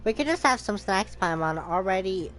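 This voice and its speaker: A woman's voice: medium pitch, lively intonation, normal speed, fairly bright tone, rough recording. A woman streamer giving casual, chatty gameplay commentary, acting out her own thoughts as she goes. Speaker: gaming streamer. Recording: game music behind the voice.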